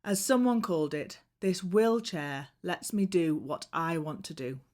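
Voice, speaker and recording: A woman reading scripted instructional narration for a training film in a measured, clearly phrased way. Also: lowish voice, animated, a little mellow.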